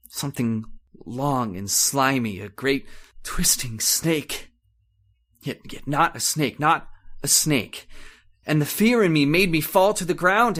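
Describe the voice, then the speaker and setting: A terrified man on the edge of madness played by a male actor in an old-time horror radio drama. His voice sits fairly high for a man. He speaks in halting, repetitive bursts with heavy melodrama and haunted guilt. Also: a bit bright. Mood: haunted dread, then grim despair.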